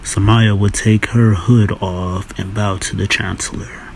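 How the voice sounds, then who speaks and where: Slow, low-voiced male narrator over loud music. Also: steady tone, low-quality recording. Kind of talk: scripted story narration.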